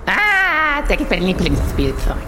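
A woman's voice: on the high side, huge pitch swings, unhurried, over music. Woman playing a theatrical, wildly swooping quiz villain. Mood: gleeful quizzing. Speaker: improvised audio play performer. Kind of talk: improvised adventure audio play.

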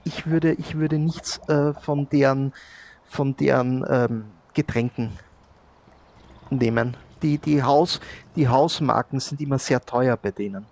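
A man improvising role-play dialogue as a cork shop owner, speaking haltingly. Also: mid-range voice, gravelly, a little mellow. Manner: hesitant, halting. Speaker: player in an audio role-play.

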